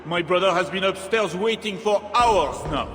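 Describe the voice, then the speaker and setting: A man's voice: high voice, moderate pace, background noise, close to an everyday voice. An actor playing a weary male churchgoer in a video game. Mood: resigned. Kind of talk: video game cutscene acting. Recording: echoey hall with crowd murmur.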